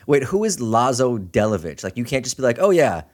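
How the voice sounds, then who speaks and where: A man's voice: mid-range voice, big pitch swings, brisk. A man co-hosting a podcast in casual chat, with a wry, teasing way of talking. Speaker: podcast co-host.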